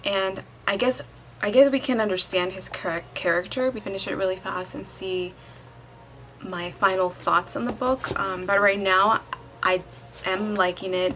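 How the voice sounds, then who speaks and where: A woman's voice: medium pitch, fairly even intonation, measured pace, fairly bright tone, slight background noise, low-quality recording. A young woman talking casually in a book vlog, chatty and candid, reacting aloud as she reads. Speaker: book vlogger. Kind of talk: casual reading vlog talk.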